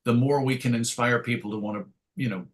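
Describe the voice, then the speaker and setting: A middle-aged man with a medium-pitched voice reminisces as an interview guest. He talks casually and tells his stories in a chatty, wry way. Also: fairly even intonation, brisk. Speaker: interview guest, comics writer and editor.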